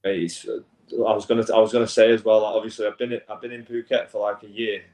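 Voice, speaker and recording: A man's voice: fairly low pitch, flat delivery, fast talker, darkish tone, reverberant, rough recording. A man of twenty-nine, talking laid-back and sweary as a guest on a call from his apartment abroad. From England. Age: 20s. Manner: laid-back, swearing, says mate a lot. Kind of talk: casual chat. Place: an apartment in Bangkok.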